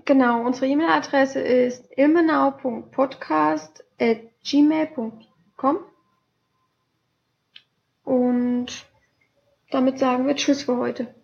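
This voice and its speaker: A woman's voice: high voice, fairly even intonation, takes it slowly, gravelly, pauses often, darkish tone. A young woman co-hosting a casual podcast, chatty and cheerful, with plenty of fillers. German is her first language. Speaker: podcast co-host. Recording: dull lo-fi home podcast recording.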